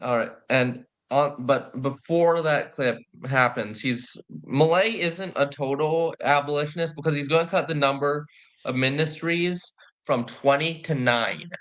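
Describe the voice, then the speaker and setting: A man's voice: on the high side, a little slow, a bit bright. A man from California hosting a political stream, talking casually and restarting his sentences. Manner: conversational, restarts mid-sentence. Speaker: stream host. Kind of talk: political commentary chat.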